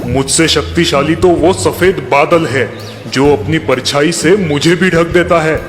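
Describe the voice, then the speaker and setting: A man's voice: on the high side, a little slow, lightly acted. A man voicing an amiable but sly sun god in a children's cartoon story, stately and indulgent. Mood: flattering. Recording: long hall reverb, faint music.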